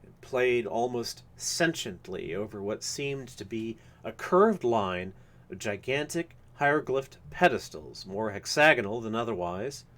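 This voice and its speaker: A man gives a live reading of literary horror fiction. He phrases it formally and deliberately, with a dramatic storyteller's delivery, at a medium pitch. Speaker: reader of a story.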